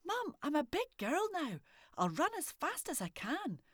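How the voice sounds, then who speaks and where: A woman with a Scottish accent voicing a young girl who pleads eagerly in a high, breathless voice. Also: wildly swooping pitch, unhurried, a bit bright, no room sound, studio quality, full character voice. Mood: pleading.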